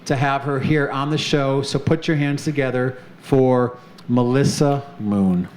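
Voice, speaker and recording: A man's voice: medium pitch, steady tone, a little slow, darkish tone. A man hosting a live show on stage, chatty and upbeat, with many fillers. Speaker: host. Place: off-center stage of an arts center, live audience. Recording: echoey room with faint noise.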